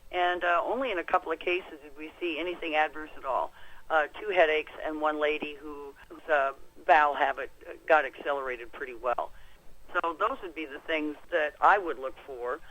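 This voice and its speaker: Low-voiced American woman, folksy expert. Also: normal speed, fairly bright tone, rough recording. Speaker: expert guest, clinic practitioner. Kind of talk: explanatory interview answers on a conference call. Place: conference call.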